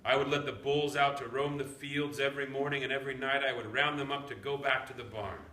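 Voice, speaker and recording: A man's voice: mid-range voice, steady tone, fairly quick, sharp tone, close to an everyday voice. Man playing a folksy old grandpa in an echoey room. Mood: wistful. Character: warm, teasing, nostalgic old grandfather in the countryside. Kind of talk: staged play reading. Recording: echoey room, background noise.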